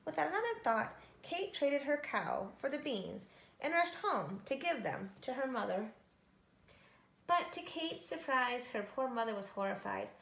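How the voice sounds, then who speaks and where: A woman reading a children's story aloud with expressive narration. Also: high voice, a little slow, vocal fry. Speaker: storybook reader. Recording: echoey room.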